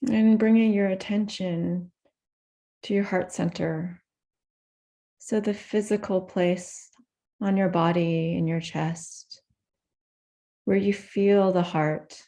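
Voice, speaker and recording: A woman guides a meditation in a lowish, gravelly voice with a lot of creak. She takes her time, speaking very slowly in short, gentle phrases and leaving soft pauses between them. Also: steady tone.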